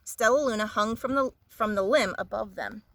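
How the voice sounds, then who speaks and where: A woman's voice: fairly high pitch, moderate pace, fairly bright tone, dry recording. A woman storyteller reading a children's story aloud expressively.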